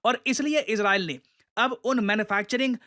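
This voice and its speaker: A man's voice: sits high, moderate pace, bright, forceful tone. A man with an Indian accent giving emphatic explanatory news commentary, addressing listeners directly and mixing in English phrases.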